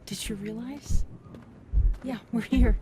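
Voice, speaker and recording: A woman's voice: on the high side, fairly even intonation, slow pace, background noise. A woman playing a hesitant, slightly awkward alien woman in an audio roleplay, talking casually and confidingly. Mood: candid, confiding. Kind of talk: scripted audio roleplay drama.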